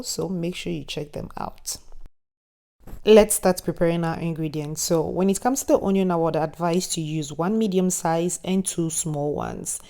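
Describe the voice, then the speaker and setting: A woman's voice: on the low side, warm tone. A young woman with a Ghanaian accent, hosting a cooking tutorial in a friendly, instructive voice-over with chatty asides. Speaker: cooking channel host.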